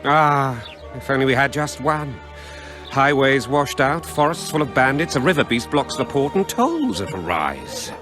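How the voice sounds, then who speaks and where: A man's voice: medium pitch, big pitch swings, a little slow, over music. An actor voicing an irritable, haughty man of a fantasy port town in a video game. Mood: exasperated, sardonic.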